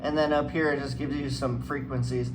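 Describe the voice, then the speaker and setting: A man's voice: mid-range voice, little pitch movement, a little fast. A man giving casual hands-on commentary as a flight simulator guide, rambling and thinking aloud. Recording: clearly noisy room background.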